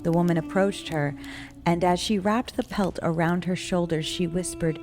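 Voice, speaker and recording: A woman narrating a scripted dark folk tale as a storyteller, in a measured, solemn and dramatic way. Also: lowish voice, animated, over music. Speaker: storyteller, guest writer reading own story.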